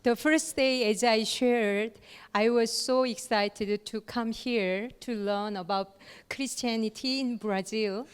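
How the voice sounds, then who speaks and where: A woman giving a lecture in short, paused phrases with fillers, leaving room for an interpreter. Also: fairly high pitch, steady tone, clean tone. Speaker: guest lecturer. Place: lecture hall. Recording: long hall reverb.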